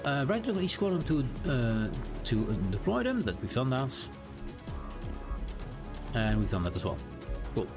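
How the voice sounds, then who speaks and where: A man's voice: medium pitch, wildly swooping pitch, moderate pace, long pauses, over music. Dutch man chatting over his gameplay. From Netherlands. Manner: chatty, reads game text aloud, thinks out loud with restarts. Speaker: gaming streamer. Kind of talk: live gameplay commentary.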